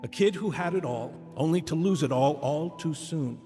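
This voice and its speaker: An actor playing a solemn public speaker telling a tragic story with measured, dramatic phrasing in a radio drama. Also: male voice, a little high, dark tone, lightly acted. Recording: reverberant hall, music behind.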